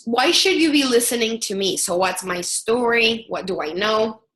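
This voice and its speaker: A woman's voice: fairly high pitch, lively intonation, moderate pace, fairly bright tone, echoey room. A woman in her thirties from El Salvador with a Salvadoran Spanish accent, giving an earnest webinar presentation as a product strategist, with frequent fillers and restarts.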